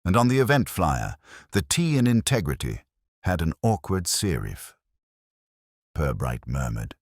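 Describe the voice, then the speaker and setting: Male narrator for scripted mystery fiction: very low, lively intonation, dry close sound. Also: a little slow, dry recording. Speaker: audiobook narrator.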